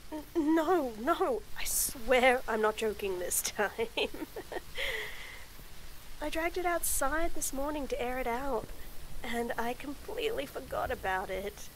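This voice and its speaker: A young woman voicing a shy, nervous tomboy friend in a romantic roleplay audio, stammering, giggling and speaking softly. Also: high-pitched, expressive, slow, a little hiss or hum, rough recording. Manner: stammering, giggly, soft-spoken. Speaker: voice actor.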